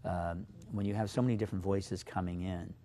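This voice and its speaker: A man whose first language is Slovak, a poet guest giving thoughtful, halting interview answers with many fillers. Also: low-pitched, steady tone, warm tone.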